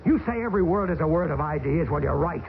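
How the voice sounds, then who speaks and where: An actor playing a stranded air force lieutenant in an old radio drama, urgent and defiant. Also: male voice, fairly high pitch, lively intonation, fast. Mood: urgent defiance. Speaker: lieutenant, lead character. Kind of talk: 1970s radio drama acting. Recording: tinny, hissy old radio broadcast.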